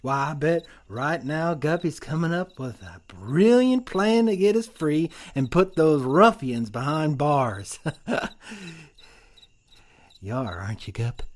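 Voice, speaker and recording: A loyal, folksy cowboy sidekick from a cartoon Western. The voice is high for a man, with a Western drawl and big swings in pitch. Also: animated, takes it slowly. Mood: upbeat. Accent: American Western drawl, put on. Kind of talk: audio drama acting, cartoon Western.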